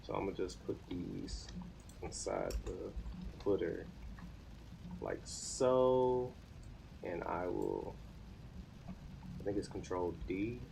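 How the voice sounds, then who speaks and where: A man in his thirties with an American accent talks in a very deep, creaky voice. He thinks aloud informally, as if chatting to a live stream while busy with a task. Noticeable background noise and room ambience sit behind him. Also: very animated, slow pace, long pauses. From United States. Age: 30s. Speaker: live streamer learning web development. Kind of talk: casual live coding stream chat.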